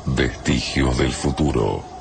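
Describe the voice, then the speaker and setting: A man's voice: extremely low pitch, takes it slowly, gravelly, music in the background. A man reading scripted radio drama credits as an announcer, over a noisy background.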